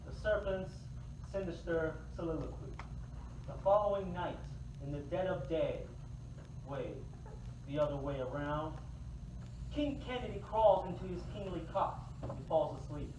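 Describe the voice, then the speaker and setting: High-voiced man narrating, echoey room. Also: lively intonation, a little slow. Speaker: reader of narration and stage directions. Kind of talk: scripted narration in staged reading. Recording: echoey room, distant mic, clear noise.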